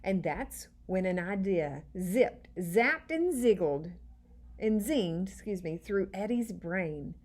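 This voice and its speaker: A woman teacher reading a children's storybook aloud in an expressive, deliberate way, with little asides to her listeners. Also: mid-range voice.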